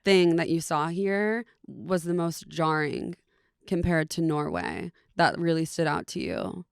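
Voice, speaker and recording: A woman co-host asks curious questions, hesitating over her words with fillers. Her voice is lowish, smooth and gravelly. The sound is dry. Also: expressive, unhurried, dry recording. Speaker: podcast co-host. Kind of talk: interviewing in casual chat.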